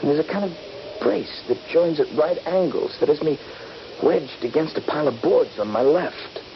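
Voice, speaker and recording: A man's voice: on the high side, lively intonation, normal speed. An actor playing a trapped, injured soldier who also narrates his ordeal in a vintage radio drama. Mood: dazed, strained. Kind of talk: radio drama acting and first-person narration. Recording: dull, noisy vintage radio recording.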